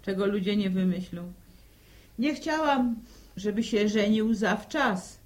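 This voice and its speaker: A woman's voice: medium pitch, takes it slowly, darkish tone, close to an everyday voice. An actress playing an anxious older village widow and protective mother in a radio drama, fretful and sighing. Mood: defensive.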